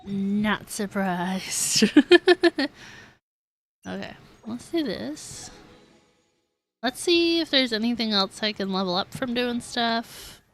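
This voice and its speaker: A woman's voice: on the high side, animated, takes it slowly. A woman game streamer chatting casually with her viewers, thinking aloud in a giggly, chatty way as she plays. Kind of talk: casual livestream chat while playing a game. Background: background music.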